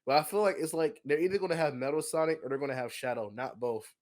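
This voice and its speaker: A man with a fairly high voice chats casually on a podcast. He talks in slang and pushes his opinions, often doubling back over his words to make the point. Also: fast talker, darkish tone. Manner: slangy, opinionated, repeats himself. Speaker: podcast co-host. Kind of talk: casual chat, film discussion.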